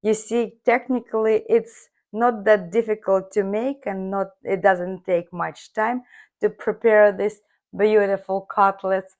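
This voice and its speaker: A woman hosting a cooking video from her home kitchen, her voice hoarse, chatting viewers through each step. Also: mid-range voice, steady tone, unhurried, a little mellow. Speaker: cooking channel host. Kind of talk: casual cooking demonstration.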